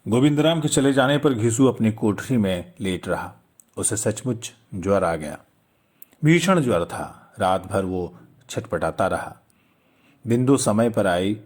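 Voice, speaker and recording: A man's voice: lowish voice, lively intonation, measured pace, darkish tone, breathy edge. A man narrating a literary story in a dramatic storytelling style.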